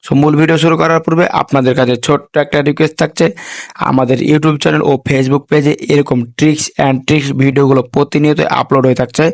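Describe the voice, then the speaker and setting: A man's voice: a little high, vocal fry, dry recording, clean studio sound. A man hosting a tech tutorial voice-over, speaking in repetitive set phrases sprinkled with English words. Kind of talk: instructional voice-over addressing viewers.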